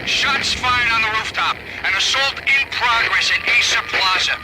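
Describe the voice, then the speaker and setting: A man's voice: very high voice, moderate pace, loud music behind the voice. An actor playing a male patrol officer calling in clipped radio codes under fire. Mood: urgent. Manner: urgent, clipped radio code talk. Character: panicked male street cop on the radio. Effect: police radio. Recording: noisy distant radio transmission.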